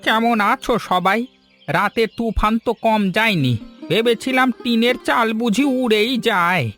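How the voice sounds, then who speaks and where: A man voicing a friendly, teasing village uncle in an animated family drama. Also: extremely high pitch, a little slow, soft background music. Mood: cheerful, teasing.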